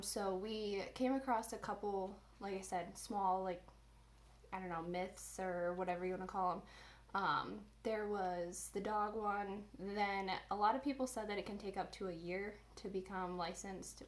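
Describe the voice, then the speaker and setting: A young woman in her twenties talks in an easy, unscripted way at a middle pitch. She chats on her vlog and fills her pauses with ums. A little room noise hums faintly behind her. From Indiana, USA. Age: 20s. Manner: chatty, many ums and restarts. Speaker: vlogger. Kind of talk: casual chat.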